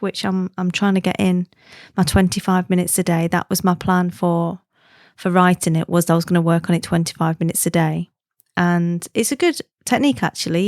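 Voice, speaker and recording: Uk woman chatting on her podcast, mid pitch, dead dry close mic, laughs easy. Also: a little mellow, dry recording. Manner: friendly and chatty, laughs easily. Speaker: podcast host. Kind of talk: casual chatty podcast monologue.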